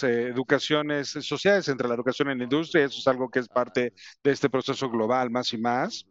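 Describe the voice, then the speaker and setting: A man with an English accent and English as his first language, giving a conference presentation in a halting way, with many fillers and restarts. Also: medium pitch, muffled recording. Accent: English speaker's Spanish. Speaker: company representative presenting to educators.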